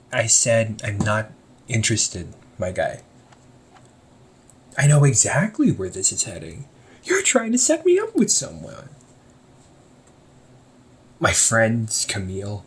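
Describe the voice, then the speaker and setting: A man's voice: fairly low pitch, sing-song, takes it slowly, long pauses. A young man playing an easygoing shop owner talking to his sibling in a one-sided audio roleplay, teasing and laughing often. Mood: firm.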